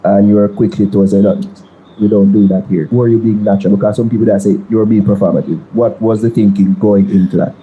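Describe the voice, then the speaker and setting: A woman's voice: very deep voice, fairly even intonation, fast, phone-quality sound. A woman interviewing a politician, asking probing questions in short prompts.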